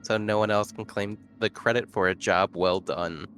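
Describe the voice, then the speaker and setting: A man's voice: fairly low pitch, steady tone, normal speed, fairly bright tone. A man chatting casually as a player in a tabletop roleplaying game. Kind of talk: casual chat during a game.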